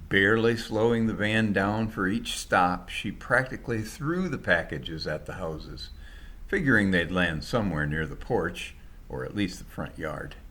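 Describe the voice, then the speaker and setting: Animated low-voiced male storyteller. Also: measured pace. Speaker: storyteller, author reading own story. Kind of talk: scripted story narration, colloquial and dramatized.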